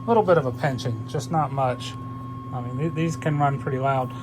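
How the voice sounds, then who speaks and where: Man, medium pitch, casual radio-hobbyist chat, hands-on demonstration, clearly noisy workbench recording. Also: brisk. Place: indoor electronics workbench.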